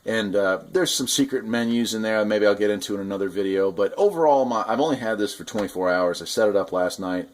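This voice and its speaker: Man, low voice, casual hobby reviewer, informal and conversational, hands-on demonstration. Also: fast talker, few pauses, slight background noise. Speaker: radio hobbyist host reviewing gear.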